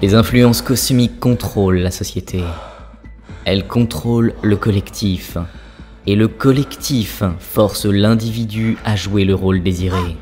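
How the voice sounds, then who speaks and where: A man's voice: lowish voice, steady tone, measured pace, a little mellow, lightly acted. A man playing an ancient dwarf priest spirit in a cartoon, a solemn and instructive wise guide. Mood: grave, instructive. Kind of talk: cartoon voice acting, spiritual teaching. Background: background music.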